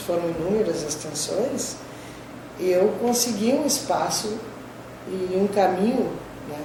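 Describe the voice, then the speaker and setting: An older woman professor from the southern Brazilian border, with a Gaúcho accent sprinkled with Spanish terms, recalling her career in an interview and tagging sentences with "né?". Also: on the low side. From southern Brazil border region. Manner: frequent "né?" tags, conversational storytelling. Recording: distant mic, echoey room, clear background noise.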